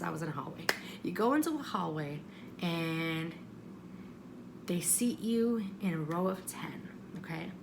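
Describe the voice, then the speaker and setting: A woman vlogger telling a story casually in the first person, rambling and expressive, with self-deprecating, apologetic asides, on a homemade-sounding recording. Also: lowish voice, vocal fry, background noise.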